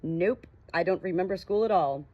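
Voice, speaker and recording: A shy ghost girl with no memory voiced by a woman narrator for an audiobook, frank and wry yet hesitant. Her voice is slightly low, and the recording is a bit muffled. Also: normal speed, no echo, close to an everyday voice. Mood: amused.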